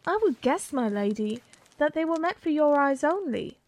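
A woman playing a calm, loyal young maiden attending a noble lady in an audio drama. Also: high-pitched, normal speed. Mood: calm, reassuring.

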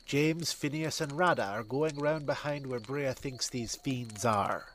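Man playing a steady, reassuring guardsman. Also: medium pitch, measured pace, slight background noise, lightly acted. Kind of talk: audio drama acting.

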